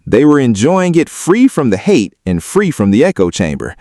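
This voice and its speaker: Guy doing argumentative hot-take commentary, super animated pitch, dry close sound. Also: moderate pace, dry recording. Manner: emphatic, argumentative. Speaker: video commentator on hip-hop. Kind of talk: opinionated video commentary.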